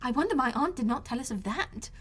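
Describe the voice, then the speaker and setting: Audiobook role: flighty teenage girl, eager, silly, high-pitched female reader. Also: animated, brisk, fairly bright tone, slightly rough, exaggerated voice. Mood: surprised. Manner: eager, girlish. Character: flighty, officer-mad, silly teenage daughter.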